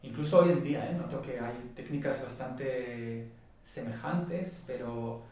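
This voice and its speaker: Therapist guy explaining stuff, calm and measured, lots of room echo, sounds far from the mic. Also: mid-range voice. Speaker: body psychotherapist guest. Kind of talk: explanatory interview talk. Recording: very echoey room, distant mic.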